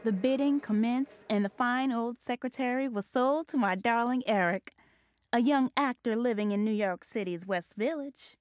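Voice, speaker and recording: A woman playing the narrator of a ghost story audio drama, telling it in a measured, literate way. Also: a little high, dry recording, lightly acted. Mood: composed.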